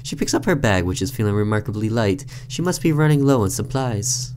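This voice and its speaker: Low-voiced man hosting a game playthrough. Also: lively intonation, a little fast, a little mellow, slight background noise. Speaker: gaming video host. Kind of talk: reading a visual novel aloud and giving casual commentary.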